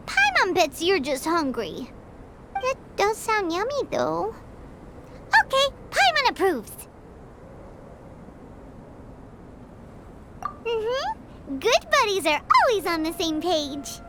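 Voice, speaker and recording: A woman voicing a tiny, excitable, cheeky fairy companion in a video game, with a squeaky childlike voice over music. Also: slow, heavy background noise, full character voice. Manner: cheeky, speaks of self in third person.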